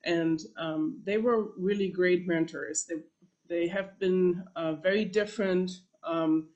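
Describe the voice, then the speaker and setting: A woman's voice: fairly low pitch, fairly even intonation, slow pace, darkish tone, dull audio. A woman from Germany with a German accent, a professor presenting to a panel in a conversational way with small restarts. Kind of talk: presentation talk.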